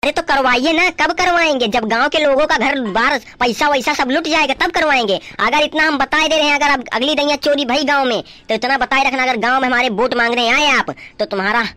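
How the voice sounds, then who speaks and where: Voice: sits high, brisk, hardly any pauses, bright, forceful tone. A performer voicing an agitated, outspoken young village man in a comedy cartoon, insistent and accusing, with a rural North Indian accent.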